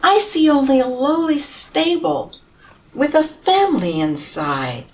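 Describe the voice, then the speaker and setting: A woman reading as a gentle, humble camel. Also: sits high, distant mic, voice pushed up, heavily acted. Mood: weary. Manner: slow, tender storybook telling with lively rises. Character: humble gentle male camel, tired but loving. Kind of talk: children's storybook reading.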